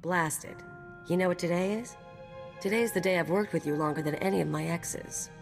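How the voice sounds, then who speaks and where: A tough, cynical female mercenary from a video game, with a slightly low voice. She talks bluntly and wryly like someone streetwise who looks out for herself, with music clearly behind her. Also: fairly quick.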